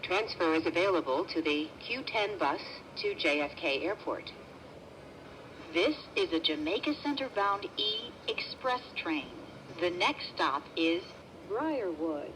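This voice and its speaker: Female transit announcer, slightly low pitch, measured scripted delivery in clipped segments, noticeably noisy vehicle-ambience recording. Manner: measured, formal, segmented phrasing. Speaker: train announcer.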